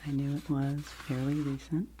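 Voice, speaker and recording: A woman in her forties, whispering gently and unhurriedly as she hosts a soft-spoken ASMR book review. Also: rumbling low, flat delivery, normal speed, noisy background. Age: 40s. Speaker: ASMR host.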